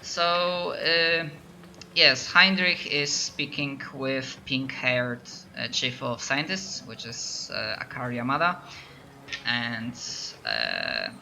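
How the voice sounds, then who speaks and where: A man's voice: medium pitch, very animated, takes it slowly, creaky, bright, forceful tone, a little hiss or hum. A man from Poland with a Polish accent, narrating as game master and often stopping to restart his sentences. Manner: hesitant, lots of restarts.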